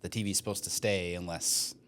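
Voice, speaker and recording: A man's voice: low-pitched, steady tone, fast talker, fairly bright tone, slight background noise. A young man co-hosting a casual podcast, reading listener questions aloud and cracking jokes between hedged explanations. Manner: joking asides, frequent hedges and fillers. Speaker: podcast co-host, mortgage lender.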